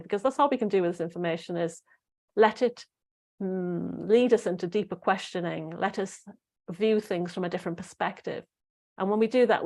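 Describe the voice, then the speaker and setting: A middle-aged woman talks to her listeners in a loose, rambling way, full of fillers and restarts. Her voice sits a little low and sounds slightly croaky. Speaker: spiritual podcast host. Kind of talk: casual solo talk to listeners.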